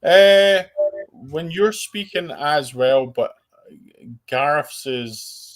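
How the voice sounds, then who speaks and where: A man's voice: a little high, expressive, drawn-out words. A man calling into a casual talk show, rambling through his stories and often repeating words as he starts a sentence. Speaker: call-in guest. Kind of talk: casual chat. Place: abroad in the United States. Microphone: call-in line.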